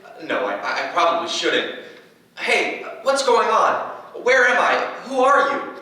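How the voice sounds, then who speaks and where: An actor in a staged reading playing a nervous, awkward, excitable young man who stammers and gets defensive. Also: high voice, a little slow, full character voice. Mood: flustered, confused. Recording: very echoey room, distant mic.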